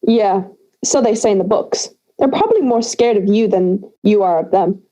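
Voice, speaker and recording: A wry schoolgirl in an audio drama, played by a young woman. Her voice is dark and relaxed, her manner dry and conversational, sheepish yet bossy with her friend. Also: mid-range voice, normal speed, lo-fi sound, lightly acted. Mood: wary, wry. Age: young adult.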